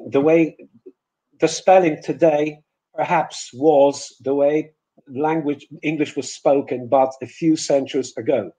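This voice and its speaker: Guy teaching english pronunciation, slowish and halting, kinda soft mellow tone, higher voice. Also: rough recording. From Poland. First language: Polish. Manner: hesitant, halting delivery with repeated words. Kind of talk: webinar lecture with demonstrated example words.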